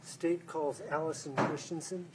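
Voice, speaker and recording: A man prosecutor questioning a witness in a courtroom, halting with frequent ums and self-corrections. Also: fairly high pitch, fairly even intonation. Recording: noisy courtroom audio feed.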